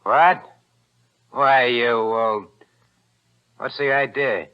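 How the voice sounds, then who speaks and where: A man's voice: mid-range voice, steady tone, drawn-out words, smooth. An American actor playing a brash, impatient hard-boiled crook in a 1940s radio thriller, with curt, slangy tough-guy snap. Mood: angry, pressed. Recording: muffled, noisy old 1940s radio recording.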